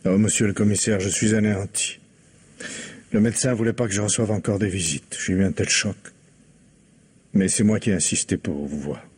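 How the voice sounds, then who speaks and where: Husky, distraught husband. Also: male voice, fairly low pitch, a little fast, darkish tone. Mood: crushed, weary. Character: distraught, defensive widowed husband under suspicion. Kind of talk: 1950s French radio mystery acting.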